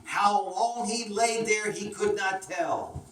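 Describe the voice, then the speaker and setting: A woman narrating a scripted children's story in a deliberate, dramatic way, as a storyteller. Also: medium pitch, fairly even intonation. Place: indoor room, picked up from a distance. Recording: distant echoey room, clear noise.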